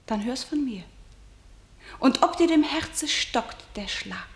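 Casting: defiant grieving tragic sister, higher-pitched, solemn stylized verse, radio drama, echoey room. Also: female voice, expressive, takes it slowly. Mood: grave, bitter. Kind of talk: German classical tragedy radio play.

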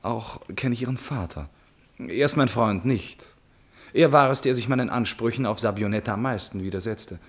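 An actor playing an impulsive, self-absorbed prince in love, in a classic German radio play, with theatrical exclamations and musing asides. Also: male voice, medium pitch, moderate pace, natural voice.

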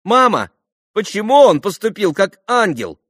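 A man voicing an imperious, excitable teenage girl with a thin little voice and demanding exclamations, in an audiobook novel. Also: high-pitched, measured pace, no echo, studio quality, voice pushed up, heavily acted. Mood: scolding. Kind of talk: audiobook classic novel dialogue.

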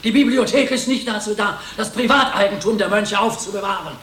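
An actor playing a stern, indignant abbot in a radio drama, rebuking with sharp authority. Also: male voice, high voice, a little fast. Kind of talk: German radio drama acting. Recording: distant in echoing hall, faint noise.

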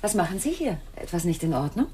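Low-pitched composed wife. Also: female voice, very animated, fast, very noisy. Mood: composed, polite. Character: polite, composed wife arriving home. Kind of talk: German radio crime drama acting.

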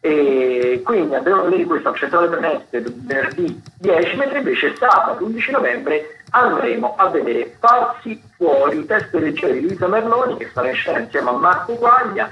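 A man hosting a radio show, presenting theatre listings off the cuff from notes in a halting way, often correcting himself. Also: a little high, animated. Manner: hesitant, halting, self-correcting delivery. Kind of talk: unscripted radio commentary reading from notes. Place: radio studio. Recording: dull lo-fi radio sound, faint background noise.

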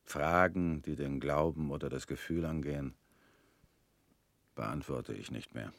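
An actor in a radio drama playing a weary middle-aged family man, guarded and resigned, talking in flat, curt lines that often sink quiet. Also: very deep voice, a little slow, creaky, no echo, heavily acted.

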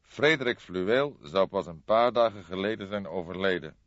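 A man voicing a calm, unruffled veteran police detective in an audiobook, asking measured questions. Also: low voice, no room sound. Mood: serious. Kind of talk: audiobook character acting. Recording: slightly dull, dry studio recording.

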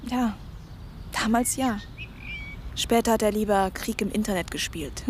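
A woman acting as a young woman in a radio drama, with an average-pitched voice. She is gentle and conciliatory, speaking simply over faint outdoor ambience. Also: a little slow, slight background noise, lightly acted. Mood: soft, reflective. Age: young adult.

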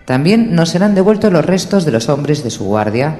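A man's voice: on the high side, very animated, normal speed, dark tone, soft background music, voice pushed up. A man voicing a firm, commanding young northern king who sets terms and demands oaths, with a roomy, echoing sound. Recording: roomy echo with long reverb.

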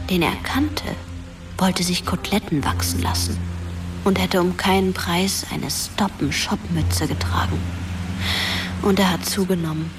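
A woman playing a dead teenage girl narrates a radio drama softly, with a reproachful edge. Her voice sits a little away from the mic. Music plays clearly behind her. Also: on the low side, unhurried, distant mic, close to an everyday voice. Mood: hushed, somber.

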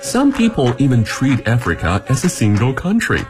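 A man's voice: medium pitch, huge pitch swings, moderate pace. A man reading scripted radio promotional narration in a dramatic, emphatic manner. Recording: music under the voice.

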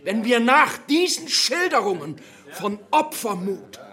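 A pompous Nazi functionary addressing villagers in a radio drama. His voice is raised high and bright, and he draws out his phrases slowly. The delivery is unctuous and rousing, with theatrical pauses. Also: very animated, full character voice. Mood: unctuous, solemn. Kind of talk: rousing wartime speech in a radio play.

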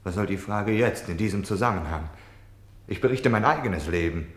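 A man's voice: lowish voice, lively intonation, normal speed, roomy sound, lightly acted. A man playing a defensive, self-pitying Nazi official on wartime leave in a German radio play, justifying himself between subdued confession and indignant outbursts. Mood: agitated, bitter.

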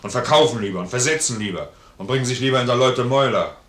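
An actor playing a loyal, plain-spoken, stubbornly generous sergeant in a 1950s German radio play, hearty and earnest. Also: male voice, medium pitch, fast talker, a bit bright, natural voice. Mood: earnest, insistent. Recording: roomy vintage radio studio recording.

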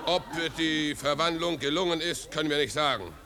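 An actor playing an awkward vagrant who speaks with halting, stiff formality in a 1950s German radio play. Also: male voice, on the high side, very noisy, close to an everyday voice. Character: awkward vagrant attempting a polite formal speech. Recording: 1950s radio recording, crowd behind. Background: party crowd.

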